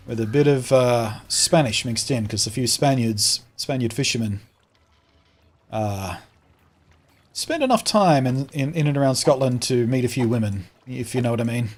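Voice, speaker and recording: Husky Australian man chatting on a stream. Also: mid-range voice, expressive, normal speed, faint music behind. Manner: chatty, reads out and answers viewer comments. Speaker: game streamer. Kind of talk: casual live-stream chat while playing.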